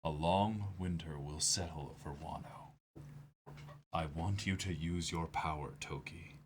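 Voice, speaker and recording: A man playing a doomed samurai lord in a dramatic comic reading, grave and proud, speaking in a hushed, halting, solemn way. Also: a bass voice, a little hiss or hum, pitched below the performer's own voice. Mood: grave, resolute.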